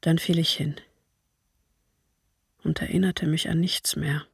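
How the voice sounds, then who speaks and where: An actress voicing a traumatised mother who survived a massacre, giving grave, restrained testimony in a radio drama with a husky, creaky voice. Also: deep voice, fairly even intonation, unhurried, no echo, studio quality, exaggerated voice. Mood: pained, grave.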